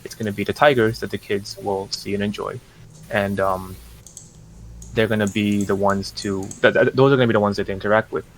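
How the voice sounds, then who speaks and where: A man representing a project, talking through his business plans in a casual interview, measured and full of fillers and restarts. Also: fairly low pitch, fairly even intonation, slight background noise, poor audio.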